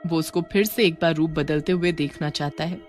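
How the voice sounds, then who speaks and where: A woman's voice: deep voice, steady tone, brisk, soft background music. A woman delivering scripted narration of a horror story as its storyteller.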